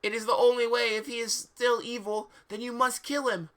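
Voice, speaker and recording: A high, sharp-voiced witch professor from a fan fiction story, played by a man. She is melodramatic and urgent, delivering prophecies with theatrical solemnity. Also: little pitch movement, normal speed. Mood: solemn, commanding. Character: melodramatic female witch professor and fortune-teller.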